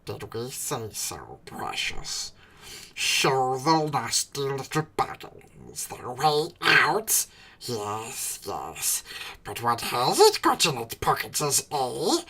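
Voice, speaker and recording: A man voicing a wretched, wicked cave creature with a raspy, hissing voice, whining and muttering to himself in a storybook reading. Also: mid-range voice, huge pitch swings, takes it slowly, bright, forceful tone, full character voice. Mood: wheedling, sly. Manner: whiny muttering to himself, erratic and drawn out. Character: miserable, wicked, slimy cave creature, wheedling and resentful.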